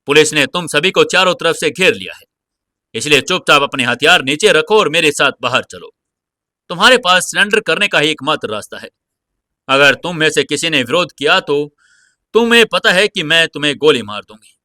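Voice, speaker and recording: A man voicing a fiery, defiant young policewoman in a dramatized audio story, forceful and commanding. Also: a little high, animated, moderate pace, no room sound, clean studio sound.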